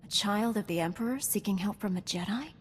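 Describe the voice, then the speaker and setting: An actress playing a calm, principled Jedi warrior in a video game, measured and serious. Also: female voice, medium pitch, big-room sound, slight background noise, lightly acted. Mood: resolute. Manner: measured, serious, gently admonishing.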